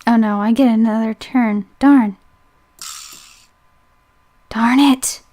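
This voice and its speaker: A woman's voice: on the high side, fairly even intonation, slow pace, mellow. A woman reading a visual novel aloud as a let's play host, breaking off into chatty asides and playful self-corrections. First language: English.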